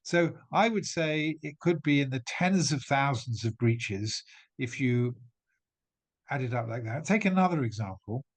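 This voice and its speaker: A man's voice: medium pitch, lively intonation, a little slow. A middle-aged man raised in England, speaking as a guest to an audience and building an emphatic argument point by point, with frequent restarts. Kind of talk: talk to an audience.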